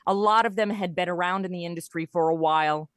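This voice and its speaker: A woman's voice: on the low side, fast talker, no echo, clean studio sound. A middle-aged woman, chatting casually and jokily as an interview guest. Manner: chatty, jokey, informal. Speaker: podcast guest, comedy writer and audio performer.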